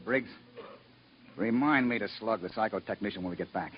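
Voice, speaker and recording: An actor playing a stern, harried spaceship captain, brisk and commanding, in 1950s radio drama style on a muffled recording. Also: male voice, on the low side, a little hiss or hum, close to an everyday voice. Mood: exasperated, firm.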